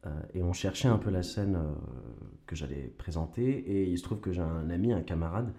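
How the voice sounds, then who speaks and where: A man's voice: sits low, a little fast. A young man from Canada, an actor, telling his story casually with slang and frequent restarts. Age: young adult. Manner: relaxed, slangy, stumbling restarts. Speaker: actor and guest. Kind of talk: casual conversation.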